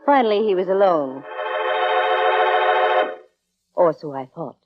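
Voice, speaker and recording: Low-voiced wry lady private eye. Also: lively intonation, fairly quick, dry recording, faint music behind. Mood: wry narrating. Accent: American. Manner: wry, breezy, hard-boiled. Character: brisk, wry young female private detective. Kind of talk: 1940s radio acting, first-person detective narration. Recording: dull, band-limited old radio recording.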